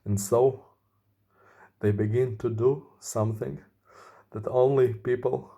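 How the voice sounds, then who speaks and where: Slow, mellow-voiced man in his thirties. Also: medium pitch, fairly even intonation, creaky. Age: 30s. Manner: slow, deliberate, earnest explaining. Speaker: vlogger. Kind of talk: personal monologue to camera.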